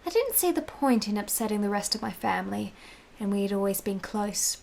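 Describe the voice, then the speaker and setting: A woman's voice: medium pitch, lively intonation, fairly quick, creaky, darkish tone, slight background noise. Actress as secret lover in a 19th-century romance radio play, female, tender and self-possessed, elegant formal diction. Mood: confiding, tender. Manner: genteel, formal period phrasing. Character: tender, adoring 19th-century Italian mistress, secretive and assured.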